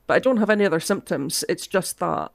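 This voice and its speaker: A woman chatting casually through gameplay commentary, her voice cutting out from a lingering cough as she thinks aloud with soft asides. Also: fairly low pitch, lively intonation, fast, creaky, no room sound, clean studio sound. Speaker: game streamer.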